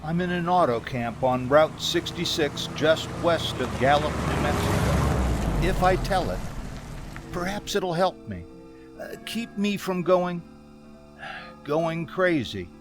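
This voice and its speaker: A man playing an anxious man in his thirties in an old-time radio drama, speaking haltingly. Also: a little high, expressive, over music. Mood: anxious, urgent. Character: anxious 36-year-old man recounting a haunting road trip.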